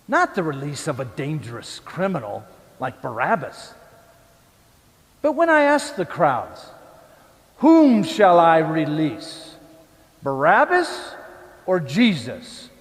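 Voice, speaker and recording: A man playing a troubled, self-justifying Roman governor in a church dramatic monologue, speaking emphatically and deliberately. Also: fairly high pitch, exaggerated voice. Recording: echoey church hall with long reverb.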